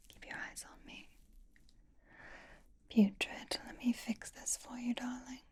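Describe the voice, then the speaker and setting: A woman's voice: on the high side, little pitch movement, warm tone, voice pushed up. Woman playing a whispering, possessive vampire. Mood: doting, possessive. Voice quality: breathy whisper. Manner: intimate, languid, disdainful, drawn-out phrasing with pauses. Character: possessive, haughty, flirtatious vampire doting on a mortal. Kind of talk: ASMR roleplay, whispered.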